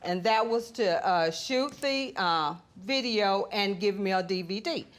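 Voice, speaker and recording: A woman's voice: mid-range voice, measured pace. A woman giving conversational testimony as a plaintiff in a television courtroom. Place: TV courtroom studio.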